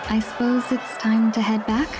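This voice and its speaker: A woman's voice: fairly high pitch, level tone, normal speed, close to an everyday voice. A woman playing a gracious, playful young lady in a video game, polite and genteel. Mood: courteous. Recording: music behind the voice.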